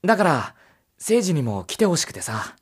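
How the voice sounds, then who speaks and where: A woman's voice: sits low, very animated, moderate pace, husky, exaggerated voice. A woman playing an easygoing, awkward young man in an anime-style audio drama, talking in a casual, hesitant and apologetic way. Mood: coaxing. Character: easygoing, awkward young male master of the mansion.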